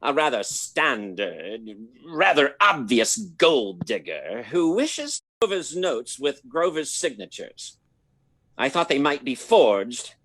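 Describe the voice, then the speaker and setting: A man's voice: mid-range voice, sing-song, slow, a bit bright, no echo, full character voice. An actor playing a prim, wealthy old man in a radio play, with formal, fussy, hesitant phrasing. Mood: fussy, concerned. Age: older.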